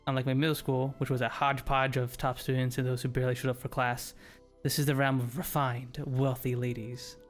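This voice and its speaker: A man hosting a casual playthrough, reading a visual novel's narration aloud and sometimes halting over unfamiliar words. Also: mid-range voice, flat delivery. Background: background game music.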